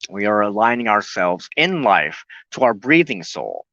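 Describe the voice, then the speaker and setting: A man giving an earnest, unscripted spoken teaching as a broadcast host, reflective and halting, often pausing in the middle of a sentence. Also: fairly low pitch, very animated, a bit bright, no room sound, highs cut off.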